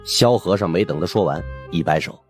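A man's voice: low voice, brisk, faint music behind. A man narrating an audiobook as dramatic, scripted storytelling.

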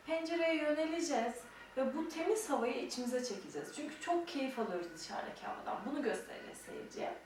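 A woman's voice: high-pitched, lively intonation, fast, a little mellow. A young adult woman teaching a mime technique step by step, explaining and demonstrating, at times counting out the movements. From Turkey. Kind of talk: step-by-step instruction. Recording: very echoey room, distant mic, faint noise.

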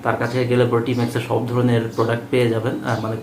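A man's voice: mid-range voice, monotone, fast talker. Bangladeshi man vlogging in an echoey, noisy room. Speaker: motorbike vlogger. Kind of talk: casual vlog talk to viewers. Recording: roomy echo, clearly noisy background.

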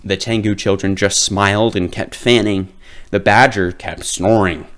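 A man reading a children's picture book aloud as a storyteller, with dramatic, drawn-out emphasis. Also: fairly low pitch, fairly even intonation, a bit bright.

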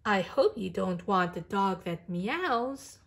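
Woman playing storybook older brother, young boy, skeptical, reasoning, emphatic, slightly husky, lively pitch. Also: slow pace, full character voice.

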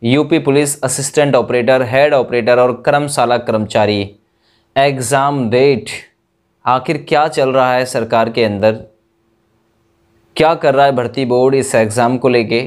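A young man giving an informal exam news update to camera in an emphatic, colloquial way full of rhetorical questions. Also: mid-range voice. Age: young adult. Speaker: exam coaching YouTuber.

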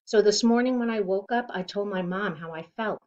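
A woman's voice: mid-range voice, a little fast, a little mellow. Middle-aged woman reading aloud, dull lo-fi sound. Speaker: teacher reading a picture book aloud. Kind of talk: read-aloud storytelling of rhyming children's book. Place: living room at home, indoors.

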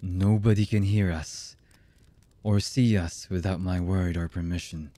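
A male voice actor playing a cold, menacing, commanding young anime villain in an ASMR roleplay, with a husky voice and a deliberate delivery. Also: low-pitched, little pitch movement, mellow, no room sound. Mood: quiet menace. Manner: slow, menacing, deliberate with long pauses.

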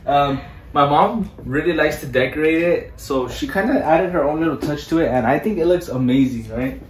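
A man's voice: a little high, normal speed, crowd noise. Young guy w doing a casual house tour, bit far from the mic in echoey rooms, music behind. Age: 20s. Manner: mixes Spanish words into his English. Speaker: vlogger. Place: indoors, small bathrooms and bedrooms of a house. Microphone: handheld camera or phone held by another person, a few feet away. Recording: roomy echo, voice away from the mic.

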